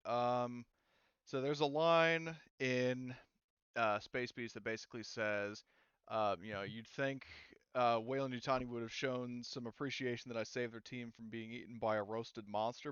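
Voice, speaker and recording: A man running a tabletop roleplaying game, explaining things in a halting, casual, conversational way. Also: mid-range voice, a bit bright, clear, resonant tone, no room sound, dull audio. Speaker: game master.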